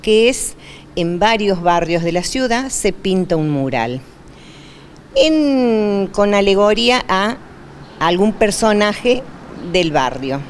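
A woman in her sixties with an Argentine accent, speaking deliberately in a local news interview as a neighbourhood representative, repeating words for emphasis. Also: medium pitch, very animated, slight background noise. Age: 60s. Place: indoors in a community hall. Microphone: handheld interview microphone.

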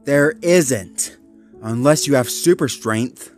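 A man's voice: medium pitch, slow, faint music behind, pitched above the performer's own voice, lightly acted. A man voicing an anxious, loyal cartoon Dalmatian pup with a hesitant, pleading manner. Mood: wry.

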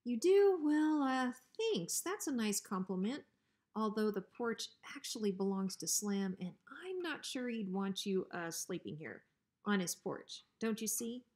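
A woman's voice: medium pitch, expressive, a little slow, a little mellow, lightly acted. A woman plays an officious storybook guard dog. He is bossy and full of bluster, yet a coward underneath. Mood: nervous flattery. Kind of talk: children's storybook reading.

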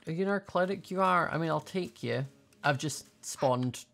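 Chatty young woman with a creaky voice. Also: low voice, moderate pace, very noisy. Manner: chatty, thinking aloud, playful. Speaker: gaming video host. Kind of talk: casual gameplay commentary. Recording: faint music behind voice. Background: faint music.

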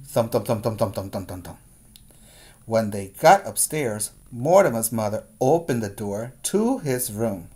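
A man in his forties reads a picture book to small children at a slow pace. His delivery is deliberate and expressive, like a teacher making sure the class follows along. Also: fairly low pitch, breathy edge. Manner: deliberate, expressive, checks in with listeners. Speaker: preschool teacher. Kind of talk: read-aloud storytelling for preschoolers. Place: small plain room indoors. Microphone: webcam at arm's length.

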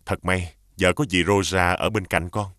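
An actor playing a grieving widowed king who speaks gently to his children and their aunt. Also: deep voice, steady tone, normal speed, no room sound, studio quality. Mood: gentle. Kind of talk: dubbed cartoon acting.

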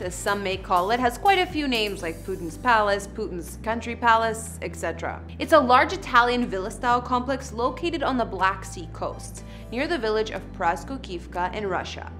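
A woman's voice: on the high side, a bit bright. A young woman hosting an online entertainment video, reading scripted narration with an emphatic presenter delivery. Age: young adult. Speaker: host of an entertainment house tour show.

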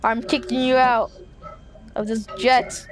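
An excitable, melodramatic young-sounding gamer improvising a zombie apocalypse roleplay over game sounds. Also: medium pitch, slow pace, noisy background. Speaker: gaming video creator playing a roleplay character.

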